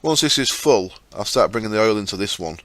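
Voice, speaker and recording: A man with a fairly low voice and a sore, croaky throat, talking through his game. He chats casually as he explains each step, with plenty of ums and asides. He speaks into a desktop mic as he records his screen. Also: lively intonation, a little fast, fairly bright tone, no room sound. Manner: chatty, explains step by step with fillers. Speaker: gaming channel host.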